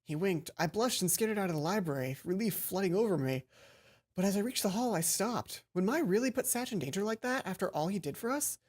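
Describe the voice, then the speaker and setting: A woman's voice: mid-range voice, animated, a little fast, a little mellow. A woman hosting a let's play, mixing casual, sweary commentary with reading a visual novel's narration aloud.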